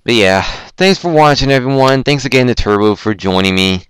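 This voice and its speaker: A young man hosting a casual online show, rambling and self-deprecating, with lots of stumbling restarts and a throat that is going hoarse. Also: medium pitch, lively intonation, a little fast, a bit bright, no echo, studio quality. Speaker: podcast host. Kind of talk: casual chat on a Skype call.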